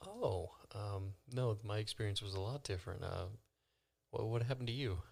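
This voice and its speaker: A man's voice: on the low side, animated, moderate pace, gravelly, darkish tone. A man playing a tabletop role-playing game, chatting in a hesitant, easygoing way. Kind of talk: casual in-character role-play chat.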